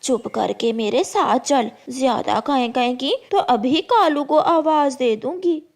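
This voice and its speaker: A woman's voice: high-pitched, measured pace, a little mellow. A woman voicing a spiteful, impatient old crow mother-in-law in a cartoon story, nagging and commanding. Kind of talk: Hindi cartoon story acting.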